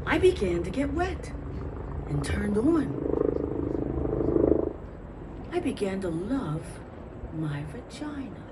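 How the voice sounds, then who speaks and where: Recorded from a distance in a noisy live venue, an actress plays a woman fondly recounting an intimate awakening. Her delivery is theatrical and savouring, with big swoops in pitch and lingering, deliberate pauses. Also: very animated. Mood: tender, sensual. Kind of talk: theatrical monologue at a staged reading.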